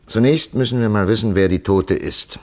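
An actor playing a shrewd, methodical police commissioner in a 1950s German radio crime drama, musing aloud with deliberate, patient superiority. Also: male voice, on the low side, close to an everyday voice. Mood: brisk, businesslike.

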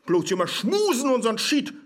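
An actor with a northern German accent playing a crude, scornful, self-pitying suitor in a verse radio play, ranting and whining. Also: male voice, extremely high pitch, big pitch swings, moderate pace, a bit bright, full character voice. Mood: indignant, scornful.

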